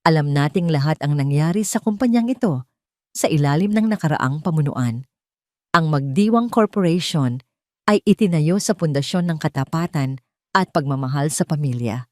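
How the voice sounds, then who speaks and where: Woman voicing a dignified, resolute lady executive, low-pitched. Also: lively intonation, a little mellow, no room sound, studio quality. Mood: firm, authoritative. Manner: formal, authoritative, measured. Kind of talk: audio story dialogue.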